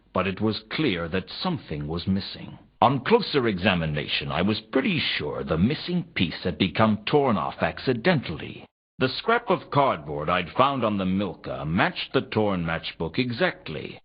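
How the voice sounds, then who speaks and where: An actor playing a wry, world-weary private detective, narrating a noir adventure game in a deadpan, sardonic way. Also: male voice, fairly low pitch, breathy edge, full character voice. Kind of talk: scripted first-person noir narration. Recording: dull, lo-fi ripped game audio.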